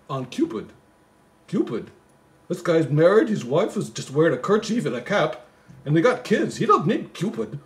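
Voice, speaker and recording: A man reading a poem aloud with casual joking asides, in a rambling, comically baffled manner. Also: mid-range voice. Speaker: host reading a Christmas poem. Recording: echoey room.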